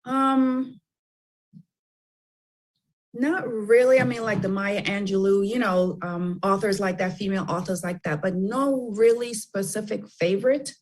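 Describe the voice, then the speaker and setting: A woman's voice: medium pitch, steady tone, unhurried, a little mellow. A middle-aged woman, a children's book author, talking as a guest in a radio interview, chatty and full of fillers and restarts.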